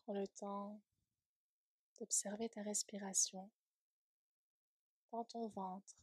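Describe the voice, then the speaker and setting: Breathy, slow-speaking woman guiding relaxation. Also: medium pitch, flat delivery, no echo. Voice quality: soft, breathy, at times near a whisper. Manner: soothing, gentle, unhurried phrasing with soft trailing endings. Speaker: yoga nidra instructor. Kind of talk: guided relaxation meditation.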